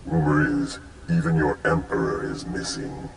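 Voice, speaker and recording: An actor playing an imperious alien crime-empire archon in a video game, speaking in a formal, pompous and menacing manner. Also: male voice, very deep voice, expressive, gravelly, heavily acted. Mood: smug, threatening. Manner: pompous, deliberate. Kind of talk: video game cutscene acting. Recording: echoey, music underneath.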